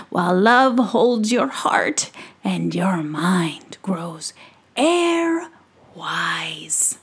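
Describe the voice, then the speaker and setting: Woman doing a crusty old gnome guy, slow whispery chant, raspy. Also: mid-range voice, full character voice. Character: crusty old male gnome muttering a verse.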